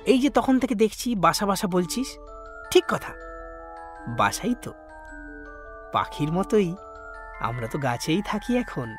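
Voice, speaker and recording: A man's voice: sits high, big pitch swings, measured pace, long pauses, over music. An actor, a man, playing a teasing young ghost in a comic audio drama, chatty and mocking. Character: cheeky, teasing young male ghost buddy.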